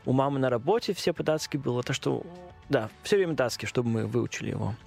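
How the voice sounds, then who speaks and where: A man with a strong Danish accent and a gravelly edge to his voice. He talks as a guest in a relaxed interview. His speech is hesitant, with frequent pauses and fillers. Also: mid-range voice, faint music behind. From Denmark. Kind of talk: casual interview conversation.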